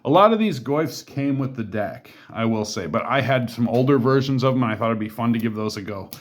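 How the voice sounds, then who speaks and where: A man reviews a card game deck in a loose, conversational way. He reads each card's text aloud, then adds asides and fillers as he talks about it. His voice sits at an ordinary middle pitch. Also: expressive, fairly quick. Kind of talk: casual deck walkthrough.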